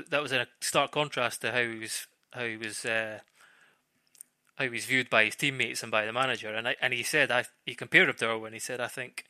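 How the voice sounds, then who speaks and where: A man talking casually as a football pundit on a podcast, halting and repeating phrases as he thinks aloud. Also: medium pitch, bright, forceful tone, no room sound.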